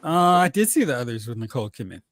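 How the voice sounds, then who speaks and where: A man's voice: medium pitch, big pitch swings, racing, fairly bright tone, dry recording. A man hosting a movie talk show, chatting casually and jokingly about films and recapping news.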